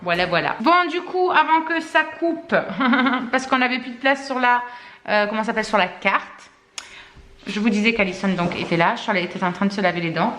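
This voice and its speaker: A woman's voice: fairly high pitch, lively intonation, fairly quick, fairly bright tone. A woman, a mother filming a casual family vlog at home, rambling with fillers and laughing often. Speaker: mother vlogger. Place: family home indoors, evening. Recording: echoey room with background noise.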